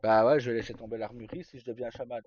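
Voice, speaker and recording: A man's voice: mid-range voice, fairly even intonation, racing, no echo. A middle-aged man talks casually on a livestream in an informal, rambling and hesitant way. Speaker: live streamer. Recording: dull, lo-fi sound.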